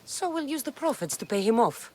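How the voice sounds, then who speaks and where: A woman's voice: on the high side, very animated, fairly quick, mellow. An actress playing a tough young female mercenary in a video game cutscene, blunt, dry and sardonic. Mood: dry, skeptical.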